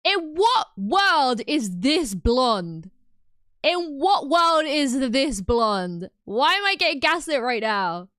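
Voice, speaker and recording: A British woman livestreaming, chatting casually and reacting loudly to her chat. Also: high voice, takes it slowly, no echo, studio quality. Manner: animated and exclamatory, reacting to chat. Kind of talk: casual live stream chat.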